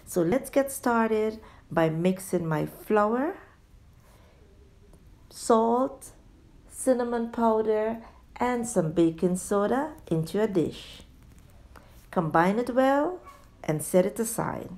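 A woman's voice: on the high side, lively intonation, slow pace, pauses often, a little mellow. A woman giving a step-by-step cooking tutorial as a voice-over, addressing viewers directly as a home cooking host.

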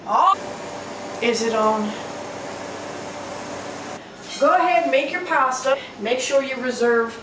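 A woman in her thirties hosting a comedic home cooking show, rambling in a deadpan way and laughing often, filmed in a small kitchen on a camera mic. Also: high voice, moderate pace. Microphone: camera mic from across the room. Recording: roomy, distant, noisy home recording.

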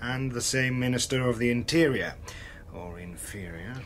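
A man's voice: mid-range voice, moderate pace, a bit bright, a little hiss or hum, lightly acted. A man playing a wry, politically cynical colleague in a radio drama, questioning in a dry manner. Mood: wry, probing.

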